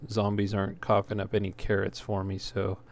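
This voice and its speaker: A man giving casual gameplay commentary in a halting way, as if thinking aloud while he plays. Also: lowish voice, completely flat delivery. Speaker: let's player, host. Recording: clear background noise.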